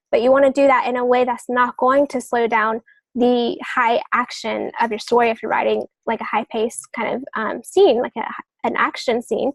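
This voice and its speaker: A woman with a fairly high voice speaks as a guest in a podcast discussion. She explains step by step with examples, sometimes hesitating and correcting herself. The sound is close and dry, with very little room in it. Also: creaky, no echo, poor audio. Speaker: podcast guest novelist giving writing advice.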